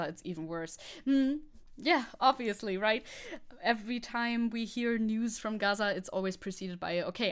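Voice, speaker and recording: A woman from Germany, a streamer, talking bluntly through the news in casual chat and swearing often. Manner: blunt, swears often, lively pitch jumps. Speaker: VTuber streamer.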